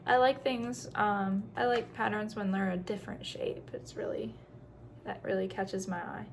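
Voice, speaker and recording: A woman's voice: mid-range voice, moderate pace, a little hiss or hum. A woman chatting casually through a craft show-and-tell as host, in a rambling way with self-deprecating asides.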